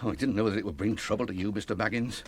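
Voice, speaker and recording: An English actor playing a flustered, apologetic fat innkeeper in a fantasy radio drama, bumbling and rambling with a rustic turn of speech. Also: male voice, lowish voice, steady tone, racing, a little hiss or hum, close to an everyday voice. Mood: apologetic, anxious. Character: flustered, apologetic, forgetful fat innkeeper, loyal and well-meaning.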